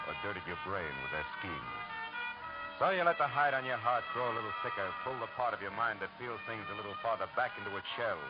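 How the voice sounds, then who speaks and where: A man plays a hard-boiled, world-weary male detective from a vintage radio noir. His voice is low and quick, with a dry, deadpan delivery and wry wisecracks. It sounds like a dull old broadcast recording. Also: natural voice. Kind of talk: 1940s radio noir acting and narration.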